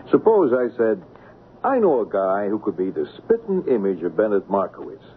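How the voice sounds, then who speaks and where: A slick, resourceful con man in a seventies radio play. His delivery swings widely in pitch as he persuades and schemes. The sound is thin and narrow, with clear background noise. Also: big pitch swings, normal speed. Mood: gleeful, smug. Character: smooth-talking, resourceful male swindler, falsely diffident. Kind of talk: 1970s radio drama acting. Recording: tinny band-limited old radio broadcast, noisy.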